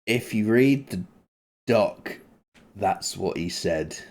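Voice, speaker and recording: A man with a low voice whose pitch jumps around a lot as he talks. He jokes through the podcast chat, laughs often, and slips into playful mock voices. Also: lively intonation, slow pace, a little mellow. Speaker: podcast co-host. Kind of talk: casual chat.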